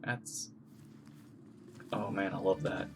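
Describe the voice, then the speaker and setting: A man's voice: low-pitched, fairly even intonation, takes it slowly, gravelly. A man streaming a video game, giving casual live reactions as he plays. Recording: loud game music behind.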